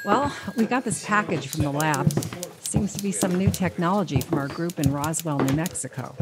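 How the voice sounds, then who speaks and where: A woman's voice: deep voice, moderate pace, heavy background noise, close to an everyday voice. A woman playing a dedicated, earnest American government agent in a radio drama. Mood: focused, curious. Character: serious female government agent, dedicated investigator.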